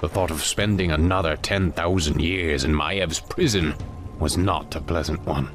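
An actor playing an imperious, brooding demon lord in a fantasy game, speaking in a grand, formal way. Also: male voice, low-pitched, moderate pace, natural voice. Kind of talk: scripted fantasy game acting. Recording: music under the voice.

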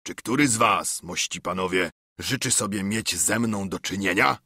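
A man's voice: deep voice, normal speed, sharp tone, husky, no room sound, studio quality, heavily acted. A man voicing a commanding, scornful older pirate captain and ship's cook in a storybook reading, with a swaggering, taunting way of talking. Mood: menacing. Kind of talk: audiobook character acting.

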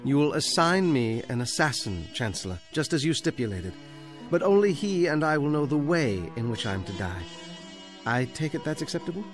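A condemned man of letters in a radio play. He is defiant but calmly dignified, with a measured, reciting delivery at a middling pitch. Faint music sits behind him. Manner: theatrical, measured, literary recitation. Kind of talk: radio drama acting.

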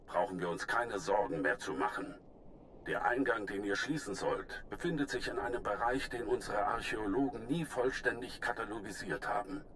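Guy doing old alien jedi master for a game, deep calm voice, thoughtful, some noise behind. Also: lightly acted. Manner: measured, formal, thoughtful. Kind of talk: video game cutscene acting.

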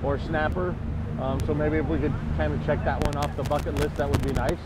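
A man's voice: fairly high pitch, flat delivery, a little fast. A middle-aged man chatting casually as a guest on a fishing trip, out on an open boat. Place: outdoors on an open boat at sea. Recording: loud boat and wind noise.

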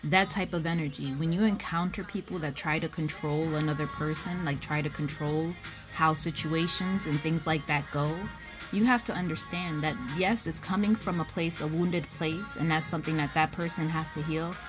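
Low-voiced woman giving a blunt tarot reading. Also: steady tone, moderate pace, over music. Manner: frank, blunt and swearing, with frequent "um" and "like". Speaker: tarot reader. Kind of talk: casual spoken tarot reading. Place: small indoor room at home. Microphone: phone camera at close range.

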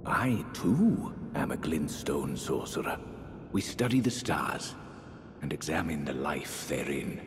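Deep, slow, genteel sorcerer priest. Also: male voice, big pitch swings, heavily acted. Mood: rapturous. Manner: theatrical, archaic, drawn-out phrasing. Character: genteel, eerie aristocratic sorcerer high priest, grandiloquent and soothing. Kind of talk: dramatic fantasy game character dialogue. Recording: echoey hall reverb, faint rumbling noise.

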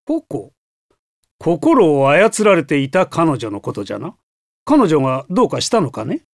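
A man playing an excitable elderly professor in an anime game, talking theatrically with old-man diction. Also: a little high, a little slow, gravelly, no room sound, studio quality, heavily acted. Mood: grave.

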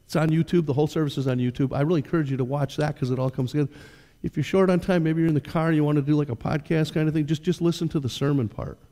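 Older male pastor, conversational sermon delivery, mellow voice, reverberant church hall. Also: on the high side, racing. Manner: conversational preaching, direct questions to the congregation. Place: church stage.

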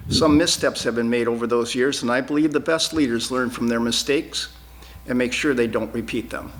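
A man delivering a formal, prepared candidate statement in a measured, scripted way. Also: medium pitch, a bit bright, poor audio. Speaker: school board candidate. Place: TV studio.

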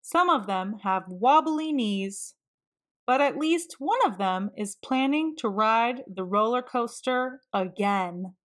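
A woman reading a children's storybook aloud, expressively and with deliberate emphasis for young listeners. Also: on the high side, lively intonation. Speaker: children's read-aloud narrator.

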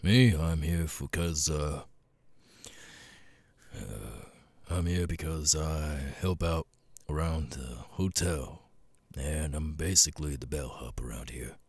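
Guy doing a super low raspy grumpy old-soul cat demon bartender, tired of it all, asmr roleplay, dry close mic. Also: a little mellow, no room sound, heavily acted. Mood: weary, matter-of-fact. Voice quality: raspy, very deep gravelly voice. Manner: gruff, laconic, casual swearing, drawn-out pauses. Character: gruff, world-weary male cat demon bartender. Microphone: close, dry studio mic.

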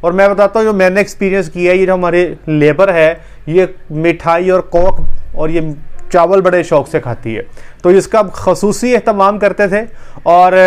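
A man's voice: a little high, clean tone. A man, a veterinary doctor talking casually to camera in a small room on a lapel mic, earnest and gesturing as he explains. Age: 30s. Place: small indoor room with wood-panelled wall.

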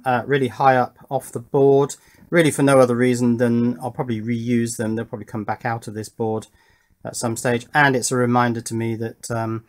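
A man hosting an electronics build video, giving casual hands-on commentary and thinking aloud as he works. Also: mid-range voice. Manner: thinking aloud while working. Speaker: host of an electronics build channel. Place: indoor workbench.

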